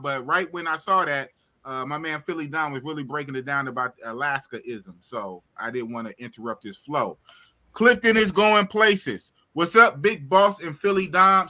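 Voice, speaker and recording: A man in his fifties with a bright, ringing voice and a slightly higher pitch than most men. He hosts a casual live stream, animated and repetitive, hyping up his viewers as he goes. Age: 50s. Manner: animated, shouts out viewers, repeats himself. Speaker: host of a live talk stream. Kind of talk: casual livestream chat.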